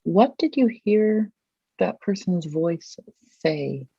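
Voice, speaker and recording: Guide for a visualization exercise: very slow, mellow and husky, gentle prompting, long pauses, dry dull recording. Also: mid-range voice, expressive, no room sound.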